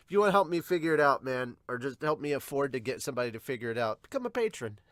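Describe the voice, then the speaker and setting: A man's voice: medium pitch, big pitch swings, fast, fairly bright tone, no room sound. A man with congested sinuses hosting a casual reaction show, rambling and self-deprecating with some mild swearing. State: congested, sniffly from sinus trouble. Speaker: podcast host and manga reactor.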